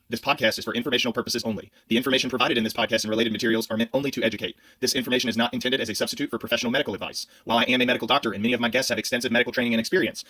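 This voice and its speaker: A man rattles through a scripted disclaimer at extreme speed. His voice is crisp-edged and bright, and the recording is very dry, with no room sound. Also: medium pitch, no echo. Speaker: podcast host reading a disclaimer.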